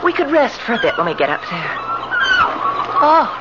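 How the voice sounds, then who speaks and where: High-voiced, expressive young wife. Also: female voice, moderate pace, muffled recording. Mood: cheerful. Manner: theatrical, expressive. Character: younger wife, affectionate yet indignant when accused. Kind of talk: 1970s radio drama acting. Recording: noisy, band-limited 1970s radio recording.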